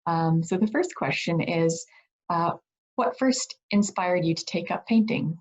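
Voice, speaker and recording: A woman's voice: fairly low pitch, measured pace. A woman, a gallery director, hosting a virtual reception over a video call and reading an introduction with frequent fillers.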